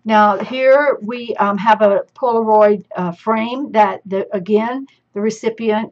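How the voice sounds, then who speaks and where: A woman with a husky, slightly hoarse voice walks through her crafting project in a chatty, unhurried way, pausing on fillers as she explains each page. The sound is dull and lo-fi, picked up by a camera over a tabletop. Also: mid-range voice. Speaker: crafting teacher. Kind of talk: casual show-and-tell demonstration. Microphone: camera overhead on tabletop.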